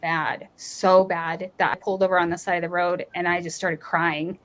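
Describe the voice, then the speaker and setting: A woman's voice: fairly low pitch, little pitch movement, normal speed, fairly bright tone. A woman with a Utah accent tinged with North Dakota, co-hosting a casual live chat and telling personal stories. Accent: Utah with some North Dakota. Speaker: livestream co-host.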